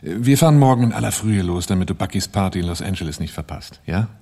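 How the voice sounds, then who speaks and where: An actor playing a cool, mocking married crook who also narrates a radio play. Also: male voice, deep voice, lively intonation, quick pace, warm tone.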